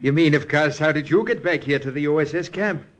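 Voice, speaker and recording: An actor playing a kindly, teasing missionary priest in a 1940s radio drama. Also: male voice, on the high side, a little fast, lo-fi sound, lightly acted. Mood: jovial, gentle. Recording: vintage radio drama recording.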